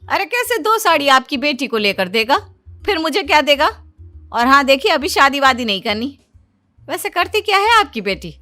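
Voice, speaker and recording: Brash Indian mum for a comic story, played by a woman: high, bright, greedy and scolding. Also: big pitch swings, normal speed, exaggerated voice. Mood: haughty. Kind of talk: exaggerated comic story acting.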